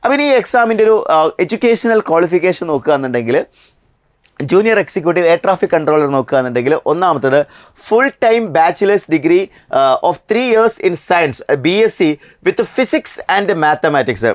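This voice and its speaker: A man's voice: sits high, expressive, moderate pace, no room sound, clean studio sound. A man with a Malayali accent presenting an explanatory exam lesson as a coaching instructor, addressing viewers directly with rhetorical questions.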